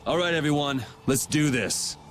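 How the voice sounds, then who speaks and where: A man voicing a cool, steady young hero in an anime-style game, speaking in a dry, unhurried way. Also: on the high side, heavy background noise, natural voice. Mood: resolute.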